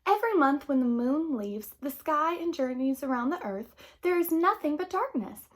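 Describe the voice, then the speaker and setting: A woman's voice: high-pitched, big pitch swings, moderate pace, pitched above the performer's own voice. A woman voicing an earnest, emotional young girl in a storybook, with pleading, dramatic delivery. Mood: tearful, worried.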